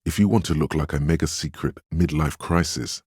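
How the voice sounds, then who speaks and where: A man voicing a steadfast inner voice of willpower in a video game, a stern yet encouraging mentor with a measured, solemn delivery and a gravelly, husky tone. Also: extremely low pitch, darkish tone, dry recording, studio quality. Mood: solemn urging. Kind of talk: scripted game narration, second-person counsel.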